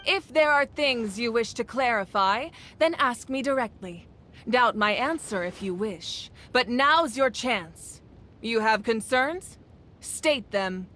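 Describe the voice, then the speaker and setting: A woman voicing a composed, authoritative female ruler in a video game, speaking with measured calm. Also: a little high, slight background noise, full character voice. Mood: challenging. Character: commanding yet gentle female ruler of a nation. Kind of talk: game voice acting.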